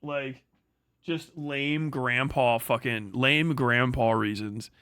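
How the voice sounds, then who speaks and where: A man's voice: medium pitch, takes it slowly, sharp tone, clean tone, no echo, clean studio sound. A man livestreaming a casual, rambling rant, full of profanity and slang, often stammering over repeated words. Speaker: live streamer, online commentator.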